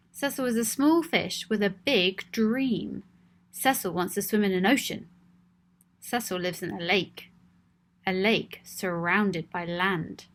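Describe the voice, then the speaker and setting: A woman reading her own children's story aloud in a gentle, expressive storybook way. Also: mid-range voice.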